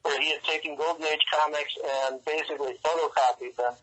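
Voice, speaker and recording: A man's voice: fairly high pitch, fairly even intonation, a little fast, a bit bright, poor audio. A middle-aged man chatting casually as an interview guest over a remote call. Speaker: interview guest, comics book co-author.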